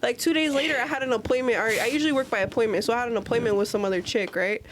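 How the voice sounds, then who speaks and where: A woman tattoo artist, a podcast guest, telling stories in a fast, profane, casual way. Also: fairly high pitch, background noise. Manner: fast, profane storytelling with lots of "like".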